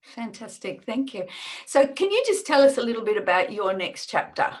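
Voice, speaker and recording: Woman, radio host, upbeat, enthusiastic, quick to laugh. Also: on the high side, very animated. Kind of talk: radio interview.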